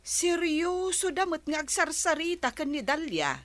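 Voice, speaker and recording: Bright-voiced Ilocano village wife. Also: female voice, sits high, big pitch swings, a little slow, no echo, full character voice. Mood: eager, gossipy. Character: eager village wife and matchmaking mother. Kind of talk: Ilocano comedy radio drama acting.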